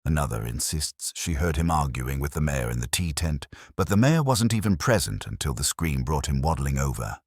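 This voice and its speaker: A man's voice: extremely low pitch, lively intonation, moderate pace, hardly any pauses, breathy edge, no echo, studio quality, heavily acted. A man voicing an earnest, dutiful police sergeant in a mystery story, plain and deferential toward his superior. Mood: earnest, sceptical.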